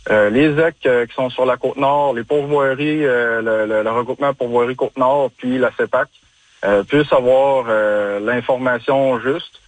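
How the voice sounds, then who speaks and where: A man's voice: medium pitch, fairly even intonation, dry recording. Hesitant young man with a Quebec accent. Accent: Quebec French. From Chaudière-Appalaches, Quebec. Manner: halting, frequent hesitations and restarts. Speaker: guest, bloodhound handler. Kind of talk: casual radio interview.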